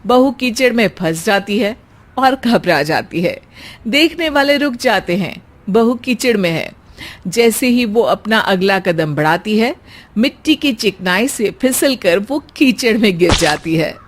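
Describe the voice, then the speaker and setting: Slow-paced woman narrating a story. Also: medium pitch, expressive.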